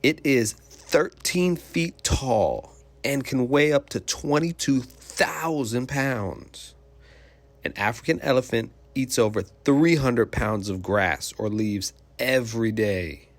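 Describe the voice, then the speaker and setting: Guy reading a kids book out loud, slow pace, dry close sound, explains stuff simply like a teacher. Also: mid-range voice, no room sound. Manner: simple, explanatory, addressing children with questions. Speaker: teacher reading aloud to young children. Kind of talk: children's picture book read-aloud with simple asides.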